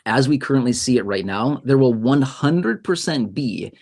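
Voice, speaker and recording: A man's voice: medium pitch, lively intonation, normal speed, a little mellow. A man hosting a casual livestream on YouTube, explaining policy news in a conversational way and often checking in with "okay?" and "right?". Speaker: YouTube host.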